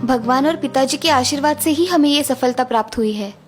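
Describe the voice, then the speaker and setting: Cartoon village wife acted by a woman: young, supportive, gentle, clearly high female voice. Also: fairly quick, over music, close to an everyday voice. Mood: grateful.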